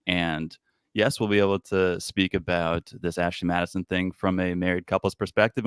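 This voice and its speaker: A man's voice: low-pitched, a bit bright, clean tone, no echo, clean studio sound. A man who co-hosts a podcast, speaking as a guest in a casual chat, confident and emphatic.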